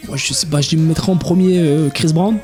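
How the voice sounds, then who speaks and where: A man's voice: a little high, fairly even intonation, fairly quick, darkish tone, soft background music. A young man in his twenties, a DJ, talking casually in an interview, hesitant with many restarts and fillers. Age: 20s. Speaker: DJ guest.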